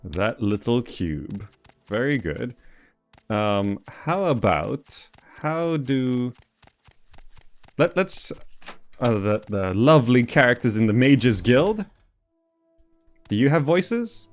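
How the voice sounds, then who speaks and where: A man's voice: mid-range voice, sing-song, slow, long pauses, soft background music. A man hosting a gaming video with casual, hesitant commentary, thinking aloud as he plays. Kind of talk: casual game playthrough commentary.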